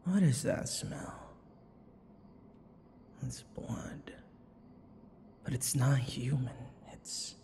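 A man playing an obsessive, menacing, grieving vampire in an ASMR roleplay, breathy and halting, repeating his words. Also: medium pitch, dark tone, big-room sound. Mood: tense whispering. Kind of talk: ASMR roleplay audio drama.

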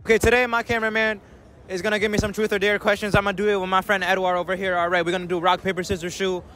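A man's voice: sits high, little pitch movement, racing, sharp tone, slight background noise. An eighteen-year-old man vlogging casually outdoors in a busy city square at night, brash and swearing a lot. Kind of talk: casual street vlog.

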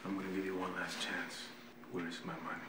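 An actor playing a cold, controlled, menacing casino boss in a film, speaking in curt commands. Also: male voice, sits low, monotone, fairly quick, sharp tone. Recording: film audio with clear background noise.

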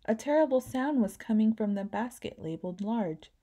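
A woman reading a children's picture book aloud, narrating gently and giving the characters lively voices. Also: on the high side, normal speed. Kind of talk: read-aloud storytelling.

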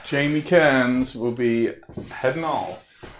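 A man's voice: mid-range voice, slow pace, a little hiss or hum. A middle-aged British man co-hosting a live prize draw with casual, jokey chat. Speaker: competition live-draw co-host. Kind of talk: casual chatty banter. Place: indoor studio.

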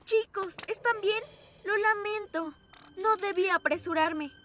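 Very high-voiced little girl hero. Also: unhurried, a bit bright, slight background noise. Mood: worried. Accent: Latin American. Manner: emphatic, determined delivery. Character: earnest, bold six-year-old girl superhero. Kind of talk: animated children's cartoon dubbing. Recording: music behind the voice.

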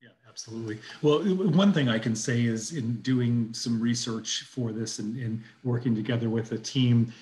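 A man's voice: mid-range voice, normal speed, few pauses, a little mellow. A middle-aged man hosting an interview over a video call, upbeat and enthusiastic. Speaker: host.

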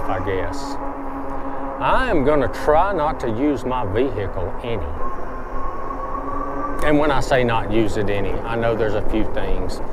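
Rambling man on a bike ride. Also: fairly low pitch. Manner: rambling, thinking aloud with fillers and restarts. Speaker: vlogger. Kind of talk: casual chat while riding an e-bike. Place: outdoors on a road, riding a bike. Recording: loud road and wind noise outdoors.

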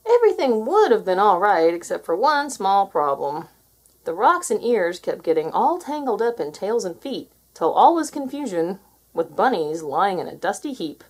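Animated, husky woman reading a storybook. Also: medium pitch, moderate pace. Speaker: storybook reader. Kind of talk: expressive children's story read aloud.

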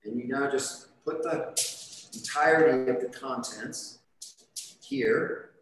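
A man cooking on a studio kitchen set, explaining each step in a relaxed, chatty way. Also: medium pitch, slow. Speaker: chef demonstrating a recipe. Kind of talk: live cooking demonstration. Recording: echoey kitchen with light background noise.

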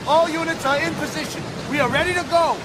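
An actor playing a commanding police officer in an action video game, urgently calling out. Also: male voice, extremely high pitch, quick pace. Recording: very loud wind and street noise.

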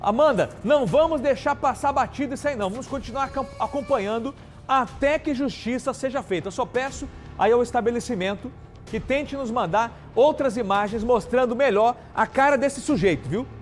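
A man's voice: extremely high pitch, brisk, faint music behind. A man from anchoring a crime news show, talking emphatically and indignantly straight to viewers. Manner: indignant, repeats and addresses viewers directly. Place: TV studio.

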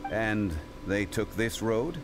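A man voicing a composed, scholarly gentleman in a video game, formal and grave. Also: lowish voice, expressive, slow pace. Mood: calm, grave. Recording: game music under the voice.

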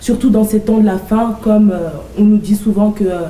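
Earnest young Frenchwoman, mellow voice. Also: mid-range voice, fairly even intonation, moderate pace, reverberant, distant mic, rough recording. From France. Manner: earnest, many hesitant fillers. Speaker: interview guest. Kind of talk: church testimony. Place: TV studio set.

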